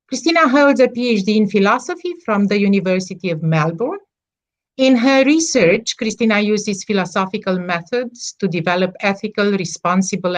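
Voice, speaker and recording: A woman with a mid-range voice moderates an online conference panel through a video call computer mic. She reads a speaker's introduction in a halting way, stopping to correct herself and filling gaps with "uh" and "um". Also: mid-range voice. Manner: hesitant, self-correcting, with fillers.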